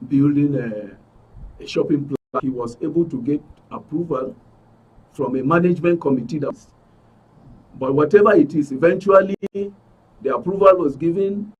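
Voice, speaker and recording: Husky Nigerian man, picked up from afar. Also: on the high side, moderate pace, distant mic, muffled recording. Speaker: studio guest, aggrieved club member. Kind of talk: spoken account in a broadcast interview. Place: radio and TV studio.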